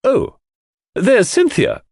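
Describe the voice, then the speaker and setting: Male narrator as gushing lady of the house, high swooping pitch, fussy, chatty, mystery audiobook. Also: huge pitch swings, slow, darkish tone, dry recording, clean studio sound, full character voice. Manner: gushing, exclamatory. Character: gushing, fussy wealthy matriarch, talkative and fond.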